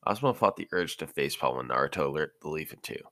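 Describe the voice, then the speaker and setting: A man reading scripted fan fiction narration for a podcast, expressive, with an occasional stumble. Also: a bass voice, fast talker, dry recording, studio quality.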